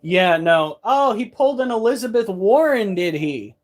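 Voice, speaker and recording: A man's voice: high-pitched, big pitch swings, moderate pace, faint music behind. A man in his thirties hosting a pet channel, talking casually and bluntly, breaking into profane rants. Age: 30s. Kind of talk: casual monologue.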